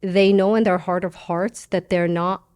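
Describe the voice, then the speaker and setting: Lively, joking woman guest from Iran. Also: fairly low pitch, smooth. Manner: animated, joking, quick asides. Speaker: guest journalist. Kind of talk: casual podcast conversation.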